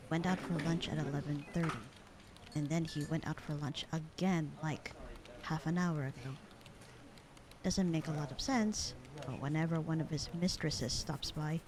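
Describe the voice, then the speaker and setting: A bored secretary acted by a woman speaks in a fairly low voice over a clear murmur of people. She is deadpan and sarcastic, with a slightly flirty edge and casual, slangy phrasing. It plays as a conversational roleplay audio drama. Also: moderate pace, a crowd in the background, natural voice. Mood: bored, indifferent. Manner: deadpan, slangy, unbothered drawl.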